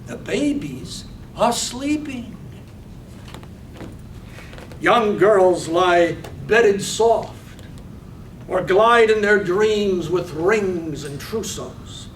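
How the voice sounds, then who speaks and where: Man reading poetic play narration, super slow n deliberate, echoey, faint rumbly noise. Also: lively intonation. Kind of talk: poetic scripted stage narration. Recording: roomy stage, far mic, faint rumble.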